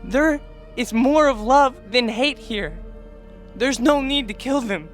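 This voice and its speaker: A man's voice: very high voice, slow pace. An actor in a radio drama playing a desperate young alien defector who stammers as he pleads for humankind. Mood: earnest pleading. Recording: music under the voice.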